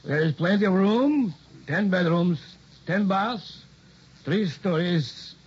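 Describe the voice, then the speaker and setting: Man playing older scientist, sly, formal, deliberate, husky, animated pitch, 1960s radio play, dull recording. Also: full character voice. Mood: genial, formal. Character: tight-fisted, sly older male scientist and medical instructor. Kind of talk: 1960s radio drama acting.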